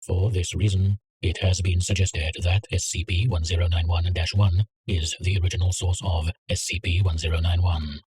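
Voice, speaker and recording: A man reading a containment document aloud in a measured, clinical way. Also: low voice, little pitch movement, a little mellow, slightly rough, poor audio. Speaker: narrator. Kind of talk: scripted reading of a containment file.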